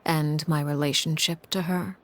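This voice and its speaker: A lowish female voice for a cold, commanding game character, acted by a woman. She is measured and formal, an enigmatic negotiator who condescends with complete composure. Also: fairly even intonation, very noisy, close to an everyday voice. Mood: quietly menacing. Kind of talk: video game voice acting.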